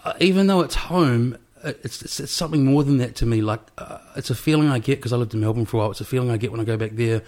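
A man's voice: mid-range voice, quick pace, hardly any pauses, darkish tone. A man from New Zealand with a Kiwi accent, hosting a casual interview chat from his small apartment, rambling with many restarts. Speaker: podcast host. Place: small rented studio apartment.

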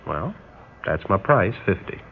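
Low-voiced, cynical charter pilot. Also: male voice, big pitch swings, unhurried, vocal fry, husky, full character voice. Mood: sardonic. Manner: blunt, sardonic, casual. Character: cynical, hard-bargaining male charter pilot. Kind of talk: 1960s radio drama acting. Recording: noisy, tinny old radio recording.

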